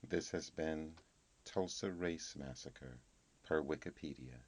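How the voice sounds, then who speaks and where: A man's voice: extremely low pitch, slow pace. A man reading a scripted encyclopedia article as a narrator.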